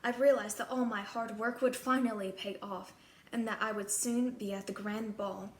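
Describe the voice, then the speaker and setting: A woman's voice: mid-range voice, fairly even intonation, moderate pace. A woman narrating a scripted children's fairy tale in the first person, in character as a princess. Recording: echoey room, clear background noise.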